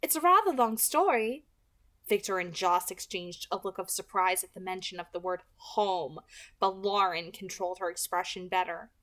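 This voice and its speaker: A woman's voice: fairly low pitch, little pitch movement, moderate pace, a bit bright. A woman reading a novel aloud as host, in the style of an amateur audiobook.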